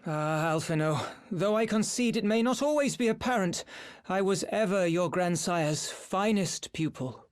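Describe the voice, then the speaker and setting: A man's voice: high voice, animated, unhurried. A man playing a proud, wry scholarly mage in a video game cutscene, speaking in formal, archaic phrases. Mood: grave, composed.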